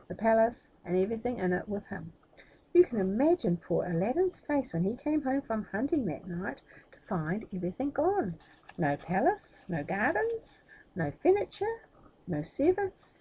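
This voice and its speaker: Animated, slightly husky woman storyteller. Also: medium pitch, low-quality recording. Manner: dramatic, addresses listeners directly. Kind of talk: expressive children's story reading.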